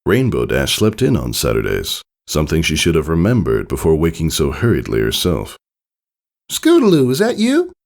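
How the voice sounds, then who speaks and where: Male story narrator, low-pitched, highly animated intonation, expressive storytelling, scripted reading. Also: brisk, gravelly, hardly any pauses. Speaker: fan fiction reader.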